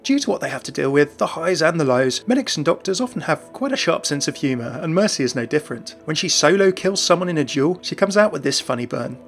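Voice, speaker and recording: A man's voice: fairly high pitch, expressive, quick pace, gravelly, few pauses, faint music behind. A man hosting a video, narrating game lore in a chatty, enthusiastic scripted style. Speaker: gaming lore video host.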